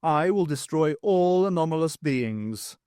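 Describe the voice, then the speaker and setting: A man voicing a cold machine genie that talks in a flat, mechanical, buzzing way. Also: on the high side, a little slow, smooth, no echo, clean studio sound.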